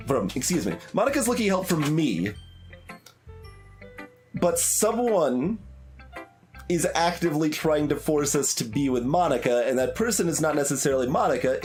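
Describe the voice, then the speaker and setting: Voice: medium pitch, big pitch swings, measured pace, a little mellow. A video game streamer giving casual playthrough commentary, musing and hesitant as they think aloud. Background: game music.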